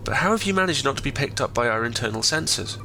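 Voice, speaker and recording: An actor plays a skeptical male starship officer in a sci-fi audio drama. His voice is gravelly and clipped, with a military air, over faint background noise. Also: medium pitch, animated, quick pace, bright, forceful tone. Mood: questioning. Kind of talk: science fiction audio drama acting.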